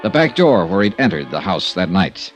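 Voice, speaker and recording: An actor playing a shrewd, courteous American insurance investigator in a 1950s radio drama, clipped and probing. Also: male voice, low voice, very animated, moderate pace. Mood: wry narrating. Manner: probing, smooth, clipped hard-boiled delivery. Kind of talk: 1950s radio detective drama, first-person narration. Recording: vintage radio recording with music.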